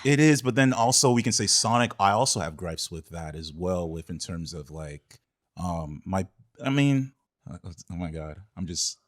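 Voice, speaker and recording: A man with a fairly low voice co-hosts a casual film podcast. He speaks conversationally, hedging his opinions as he goes. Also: lively intonation, normal speed. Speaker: podcast co-host.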